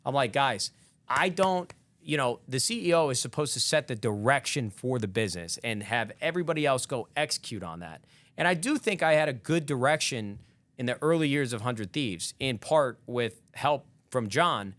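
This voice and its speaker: A man's voice: mid-range voice, lively intonation, moderate pace, fairly bright tone, dry recording. A man in his thirties talking candidly on a podcast, reflective and rambling. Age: 30s. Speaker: podcast guest. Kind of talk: casual podcast chat.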